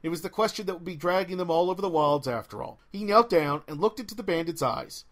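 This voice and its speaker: A man reading a story aloud as an expressive audiobook narrator. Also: high voice, fast.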